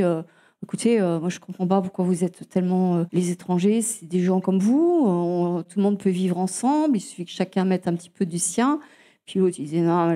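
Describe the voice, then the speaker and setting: A woman from Alsace speaks in an unscripted interview with a mellow, warm tone. She pauses often, restarts her sentences and fills gaps with small hesitations. She still keeps a story moving. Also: mid-range voice. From Alsace, France. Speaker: guest, nature charity representative.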